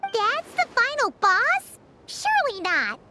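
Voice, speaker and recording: A woman voicing a small, childlike, excitable fairy companion in a video game, with a squeaky, chatty voice. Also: slow, heavy background noise, full character voice. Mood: startled. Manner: refers to self in third person.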